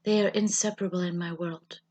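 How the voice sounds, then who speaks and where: A woman with a German accent, a native German speaker, reads a literary personal essay in a measured, solemn, husky and slightly breathy voice. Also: lowish voice, fairly even intonation, mellow. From German-speaking Europe. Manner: measured, solemn, deliberate phrasing with pauses. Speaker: writer reading her own essay.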